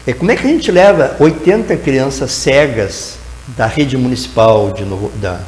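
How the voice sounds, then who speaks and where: A man's voice: mid-range voice, lively intonation, normal speed, darkish tone, echoey room, big-room sound, slight background noise, rough recording. A man, a guest educator answering in a TV interview, explaining at length and tagging his sentences with "né?". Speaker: guest educator in teacher training.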